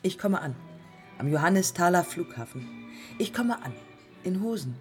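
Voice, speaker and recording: An actress playing a defiant, haunted early woman pilot in a German radio play, speaking in terse, deliberate fragments. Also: on the low side, lively intonation, faint music behind, lightly acted. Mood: matter-of-fact, resolute. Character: pioneer woman aviator, haunted, defiant, morphine-addicted.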